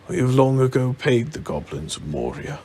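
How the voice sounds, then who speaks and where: A man's voice: medium pitch, big pitch swings, moderate pace, dark tone, a little hiss or hum. A man playing a proud, demanding dwarf leader in a storybook reading, haughty and blunt. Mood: resolute.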